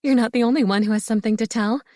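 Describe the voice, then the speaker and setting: A woman doing an excitable young heroine. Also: fairly high pitch, rapid-fire, no room sound, clean studio sound, lightly acted. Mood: excited. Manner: bright, breathless, excited.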